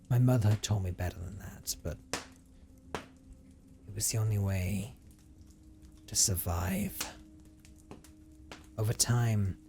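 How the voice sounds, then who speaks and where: Low, hushed brooding vampire, acted by a man. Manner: measured, hushed, formal storytelling with breathy drops. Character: brooding male vampire recounting his tragic, guilt-ridden past. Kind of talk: dramatic audio roleplay monologue.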